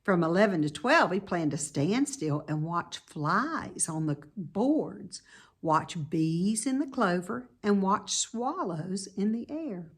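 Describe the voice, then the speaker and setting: A woman reading a children's story aloud as narrator, with deliberate, dramatic emphasis. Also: medium pitch, lively intonation, breathy edge. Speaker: storybook reader.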